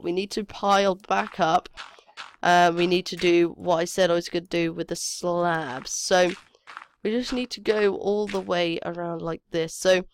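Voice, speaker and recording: A woman's voice: medium pitch, no echo. A woman with a cold, her voice sniffly and cracking, chatting hesitantly and thinking aloud as she commentates her own game. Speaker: gameplay commentator.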